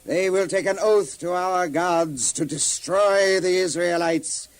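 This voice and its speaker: An actor playing an ambitious, scheming warlord king with a grandiose, deliberate delivery in an audio Bible drama. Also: high-pitched, rough recording. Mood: commanding.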